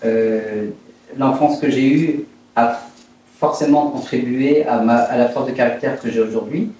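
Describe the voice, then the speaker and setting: A man in his fifties from Brittany, France, telling his life story in an interview in a hesitant, reflective, halting way. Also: mid-range voice, warm tone. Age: 50s. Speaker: interviewed entrepreneur. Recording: very echoey room, distant mic, faint noise.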